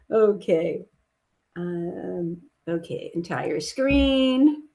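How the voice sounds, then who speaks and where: A woman's voice: fairly low pitch, animated, extremely slow, gravelly, warm tone. A woman whose first language is Italian, giving a chatty, upbeat webinar presentation on a video call. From United States. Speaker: webinar presenter, trade expert. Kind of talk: casual presentation.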